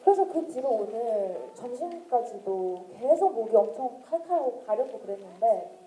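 Giggly young woman with a mellow voice. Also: fairly high pitch, lively intonation, normal speed. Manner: chatty, giggly, storytelling. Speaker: singer talking to fans. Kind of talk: casual chat with fans at a signing event. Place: event hall with fans. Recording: echoey event hall.

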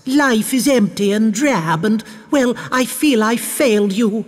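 A man's voice: high-pitched, unhurried, long reverb tail, voice pushed up. A man voicing an imperious, plaintive, scheming baroness in a storybook tale. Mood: tragic, plaintive. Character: scheming, melodramatic baroness desperate for an heir. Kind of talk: storybook character voice.

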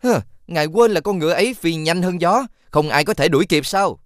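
A man voicing a clever, helpful talking fox in an animated fairy tale, coaxing and explaining. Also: a little high, fairly quick, dry recording, studio quality, lightly acted. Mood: scheming, reassuring.

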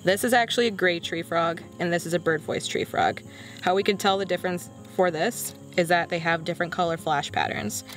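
A woman talks as a field researcher, demonstrating for a documentary. Her pitch is fairly low, and faint music plays underneath.

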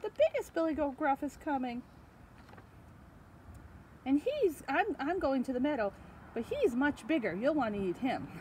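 A woman's voice: high-pitched, big pitch swings, moderate pace, pauses often, darkish tone, slight background noise, pitched above the performer's own voice. A woman voicing a middle-sized male billy goat, polite and earnestly pleading.

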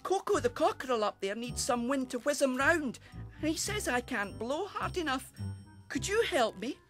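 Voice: sits high, big pitch swings, normal speed, fairly bright tone, soft background music, voice pushed up. A narrator voicing a small, eager, polite young boy breeze in a children's story, with an earnest, cheery manner. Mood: breathless, eager.

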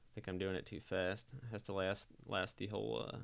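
A man giving a casual software tutorial voice-over, explaining things informally step by step. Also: low-pitched, level tone, brisk, vocal fry. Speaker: tutorial presenter.

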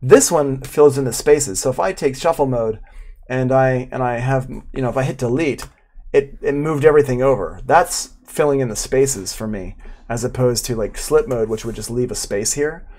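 A man's voice: medium pitch, normal speed, a little mellow. A man in his forties giving a casual live tutorial as an instructor, explaining in an informal, enthusiastic way. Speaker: audio production instructor. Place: small room at home, indoors.

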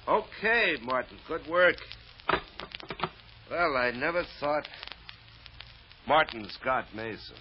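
Curt police lieutenant, muffled noisy recording. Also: male voice, on the high side, animated, slow pace, pauses often. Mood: brisk. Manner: curt, no-nonsense. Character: gruff, brisk male police lieutenant. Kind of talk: old radio drama acting.

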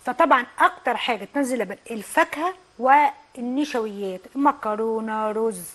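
A woman's voice: fairly high pitch, a little fast. A woman with an Egyptian Arabic accent, a nutritionist guest giving diet advice on a TV cooking show in a studio kitchen. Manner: explanatory, advising.

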